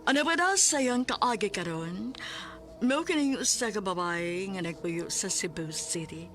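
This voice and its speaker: A woman's voice: medium pitch, expressive, a little slow. A woman announcing and narrating a radio drama in a dramatic scripted style. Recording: loud music under the voice.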